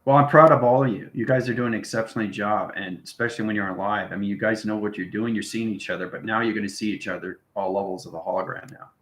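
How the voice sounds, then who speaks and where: Male online instructor, lowish pitch, informal and repetitive, keeps checking in with listeners; roomy, echoing sound. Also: steady tone, fast talker, few pauses, a bit bright. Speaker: online teacher of a spiritual live stream. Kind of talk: informal live lesson to viewers.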